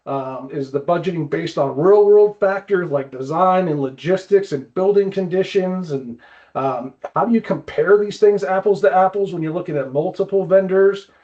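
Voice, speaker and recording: A man hosting a podcast in a casual interview style, with a halting delivery that often repeats words. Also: high-pitched, darkish tone, lo-fi sound. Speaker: podcast host.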